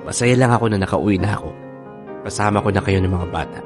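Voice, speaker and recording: An actor with a Filipino accent playing a defensive, easily rattled husband in a radio drama, conversational and expressive. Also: male voice, fairly low pitch, fast talker, over music, natural voice. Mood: playful, reassuring.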